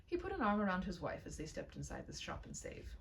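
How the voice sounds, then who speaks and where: Woman, expressive humorous story read-aloud, vocal fry, faintly noisy home recording. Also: medium pitch, fast talker, far from the mic.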